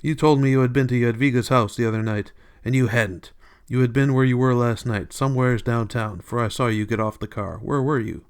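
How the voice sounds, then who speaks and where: A man voicing a fierce, furious husband in an audiobook, forceful and commanding. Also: mid-range voice, steady tone, fairly quick, clear, resonant tone, natural voice. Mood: accusing.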